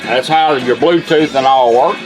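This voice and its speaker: A man's voice: mid-range voice, moderate pace, poor audio. Folksy man over music. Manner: folksy, plain-spoken, instructional. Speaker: vintage car radio technician demonstrating a product. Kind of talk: casual hands-on product demonstration. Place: indoor workshop bench. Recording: music and radio playing behind. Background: music from the demonstrated radio.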